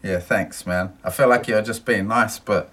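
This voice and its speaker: Low-key sweary podcast host man. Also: fairly even intonation, moderate pace, a bit bright. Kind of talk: casual banter.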